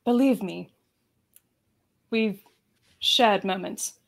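A woman's voice: medium pitch, very slow, gravelly. A woman reading a character's part at a live table read over a video call.

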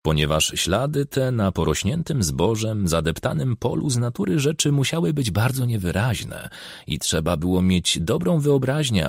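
A man narrating a crime novel as an audiobook in speech, with measured, expressive storytelling. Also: medium pitch, vocal fry, slightly rough, dry recording, clean studio sound.

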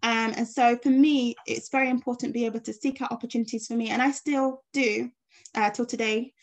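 A woman's voice: on the high side, steady tone, a little fast. Hesitant woman on a video call. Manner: hesitant, many ums. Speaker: guest speaker. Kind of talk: online panel talk.

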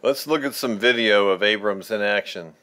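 Older male narrator, measured and informative, low voice. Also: bright, forceful tone, no echo. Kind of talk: voice-over narration.

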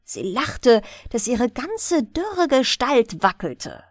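A woman's voice: fairly high pitch, very animated, takes it slowly, dry recording, studio quality. A woman narrating a fairy tale as a bedtime audiobook.

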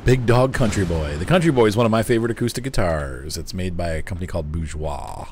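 A middle-aged man chatting casually on a game live stream, easygoing and quick to laugh, reading out viewer messages as he plays. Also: low voice, lively intonation, a little mellow, slight background noise. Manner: chatty, easygoing, laughs often, reads chat aloud.

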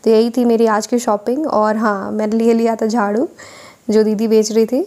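A woman's voice: on the high side, little pitch movement, moderate pace, mellow, slight background noise. A woman vlogger narrating a voice-over tour of a weekly market, listing goods and prices for her viewers.

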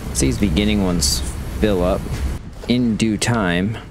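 A man's voice: on the low side, slow, a little hiss or hum. A man casually commenting on his factory-building game as he plays, thinking aloud as he checks production. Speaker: gaming channel host.

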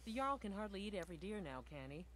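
A woman's voice: medium pitch, big pitch swings, fast, a bit bright. An actress voicing a defiant, wry female poacher in a fantasy video game. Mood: wry, defensive. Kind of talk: video game character dialogue.

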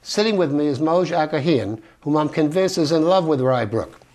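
A man's voice: a little high, expressive, moderate pace, darkish tone. A man with a slightly raised voice hosts a local community interview show. He delivers scripted introductions and questions in a formal, courteous manner. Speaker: host.

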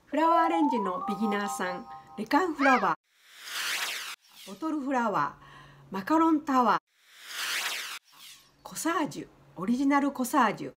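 A woman, presenting a vlog politely and in an animated, sing-song way. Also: high-pitched, a little hiss or hum. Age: 70s. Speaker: host, flower designer. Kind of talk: vlog narration to viewers.

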